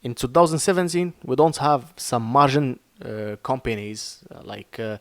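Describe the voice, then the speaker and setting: A man from Morocco with a Moroccan accent, whose first language is Arabic, giving an earnest, halting lesson on trading with many fillers and restarts over his screen. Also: medium pitch, a bit bright, no echo. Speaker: crypto trading teacher. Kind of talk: informal screen-share lesson.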